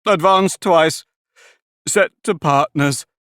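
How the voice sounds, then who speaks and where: A man's voice: on the high side, lively intonation, fairly bright tone, no room sound, voice pushed up. A sorrowful, tearful storybook sea creature, voiced by a man drags out each word in a raised, quavering voice. His tone rises and falls widely. The sound is dry and close, with no room around it. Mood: excited. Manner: slow, drawn-out and mournful. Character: weepy melancholy sea creature, male, slow and mournful.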